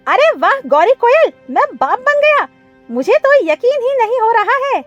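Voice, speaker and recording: A woman voicing an excitable, defensive male crow in a cartoon story, loud and exclaiming. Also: very high voice, unhurried, dull audio, soft background music, voice pushed up, full character voice. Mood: overjoyed.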